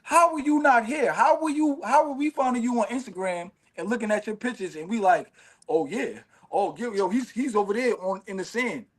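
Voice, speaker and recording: A man in his late thirties hosting a casual podcast chat, talking in an animated, emphatic way with streetwise slang. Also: sits high, a little fast. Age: 30s. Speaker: podcast host.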